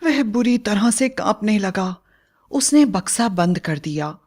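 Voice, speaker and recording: A woman's voice: medium pitch, lively intonation, unhurried, a little mellow. A woman storyteller reading a scripted bedtime fairy tale as narrator.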